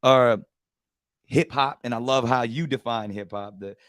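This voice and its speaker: A man's voice: medium pitch, measured pace, clear, resonant tone, dry recording, clean studio sound. A man hosting a casual talk show, speaking animatedly and often restarting his sentences. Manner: animated, many repeated starts. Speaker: host. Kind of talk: casual conversation with a guest.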